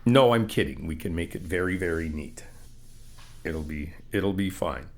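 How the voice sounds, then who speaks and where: Brief: young adult man, lowish voice, relaxed teasing friend, soft reassuring ASMR delivery. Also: moderate pace. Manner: easygoing, teasing, reassuring, laughs often. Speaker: ASMR roleplay creator playing a supportive friend. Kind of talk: ASMR roleplay.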